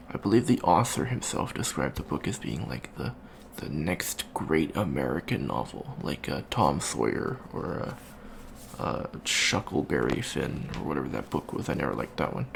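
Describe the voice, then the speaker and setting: A man playing an awkward, bookish young alien college student in an ASMR roleplay, talking softly and hesitantly with many ums and stammers. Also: rumbling low, very animated, unhurried, gravelly, slight background noise, heavily acted. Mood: bookish, rambling. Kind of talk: soft-spoken ASMR roleplay.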